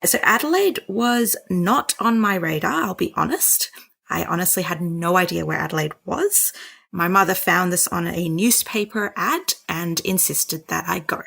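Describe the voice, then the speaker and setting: Young woman doctor, lowish voice with a gravelly fry, chatty and self-mocking. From Sri Lanka. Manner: lively, self-mocking, quick bursts. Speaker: doctor, guest. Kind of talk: casual storytelling interview.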